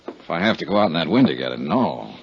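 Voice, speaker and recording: A low male American voice that swings in pitch, a tough, wry insurance investigator from an old radio detective serial. The delivery is clipped and dry, on a dull vintage recording with music around it. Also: big pitch swings, quick pace, creaky, slight background noise, full character voice. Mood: probing, dry. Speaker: radio drama lead actor and narrator. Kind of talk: 1950s radio detective acting, first-person narration.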